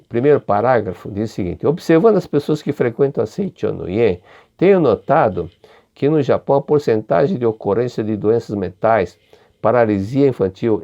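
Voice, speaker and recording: An older man with a Brazilian Portuguese accent giving a religious study lecture, unhurried and often repeating or restarting his phrases. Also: lowish voice, gravelly, warm tone, highs cut off.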